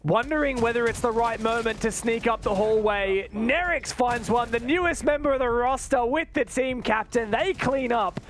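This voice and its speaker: A man commentating an esports match live, play by play, in an excitable manner. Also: very high-pitched, moderate pace, few pauses, a little hiss or hum. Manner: excitable, exclaiming at big plays.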